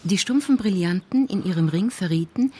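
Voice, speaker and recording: A woman's voice: mid-range voice, animated, normal speed, warm tone, husky. A woman narrator reading scripted crime-story narration for a radio drama.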